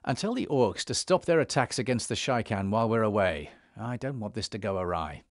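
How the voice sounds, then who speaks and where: An actor playing a resolute emissary and elder in a fantasy video game, firm, serious and authoritative. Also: male voice, lowish voice, expressive, a little fast, dry recording, clean studio sound. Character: commanding male dragon-blooded emissary and elder, resolute hero. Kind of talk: dramatic video game acting.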